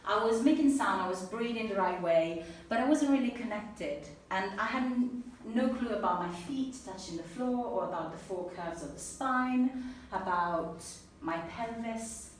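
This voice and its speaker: Italian woman giving a talk, earnest and lively, echoey room, mic kinda far off. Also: mid-range voice, unhurried, few pauses. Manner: earnest, animated, laughs easily. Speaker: speaker at a talk. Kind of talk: personal talk then audience Q&A. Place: event room with an audience. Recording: echoey room, mic at a distance, faint noise.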